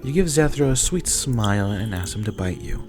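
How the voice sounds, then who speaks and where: A man's voice: fairly low pitch, animated, normal speed. A man narrating a scripted roleplay story in the second person. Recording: clear music bed behind.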